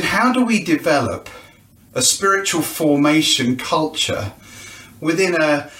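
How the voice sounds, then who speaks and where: A man with a fairly high-pitched voice speaks in a very echoey room. He presents like someone making an informal promotional video, chatty and self-deprecating, often putting questions to the listener. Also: bright, forceful tone, recorded from a distance. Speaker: church event presenter. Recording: echoey, roomy sound.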